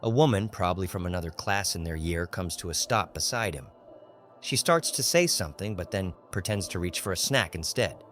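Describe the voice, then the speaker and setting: A man narrating a literary audiobook in a grave, measured, dramatic manner. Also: deep voice, expressive, fairly bright tone. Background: faint music.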